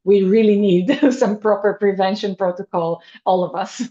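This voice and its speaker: A woman's voice: medium pitch, fairly even intonation, moderate pace, dark tone. Eager young woman hosting, muffled audio. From Bulgaria. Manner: enthusiastic, many fillers, laughs often. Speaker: podcast host. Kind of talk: casual conversational interview.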